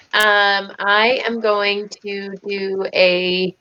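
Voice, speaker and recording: A woman's voice: medium pitch, steady tone, extremely slow, sharp tone, smooth, no room sound, lo-fi sound. A woman chatting casually as a tabletop game player, playfully thinking aloud. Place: indoors, online voice call.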